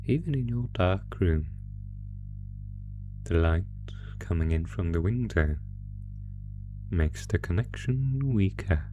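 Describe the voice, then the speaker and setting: A man voicing a sinister, sadistic monster from under the bed in a horror roleplay, taunting his prey in a deliberate, mock-genteel, theatrical way. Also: low-pitched, lively intonation. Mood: ominous, amused. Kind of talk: horror roleplay audio, slow theatrical menace. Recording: music under the voice. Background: music.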